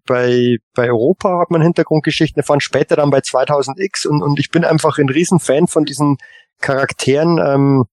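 Halting, slightly high-voiced man in dry sound. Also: a little mellow, no room sound. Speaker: podcast panelist. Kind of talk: casual fan discussion.

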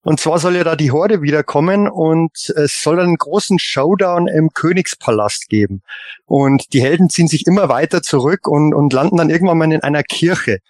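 A man talking casually on a podcast, halting often as he builds his point or story. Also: on the high side, no echo, clean studio sound. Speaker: podcast panelist. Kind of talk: casual podcast chat and anecdote telling.

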